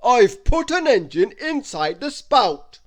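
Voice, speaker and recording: A man voicing a huge, jolly male giant wizard who booms and laughs loudly. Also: sing-song, takes it slowly, full character voice. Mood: jovial. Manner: booming, shouting, laughing.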